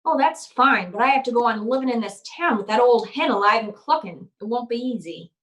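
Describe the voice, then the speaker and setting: A woman's voice: on the high side, lively intonation, fairly quick, a bit bright. A woman playing a cynical, wry private eye, curt and hardboiled, in an old-time radio noir drama. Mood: cold, hard bargaining.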